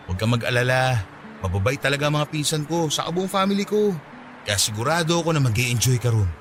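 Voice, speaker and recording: An actor playing an eager, caring, shyly smitten young office worker in a Filipino radio drama, with a Filipino accent. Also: male voice, mid-range voice, animated, brisk, fairly bright tone, soft background music, natural voice. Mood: cheerful, persuading. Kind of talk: radio drama acting in Taglish.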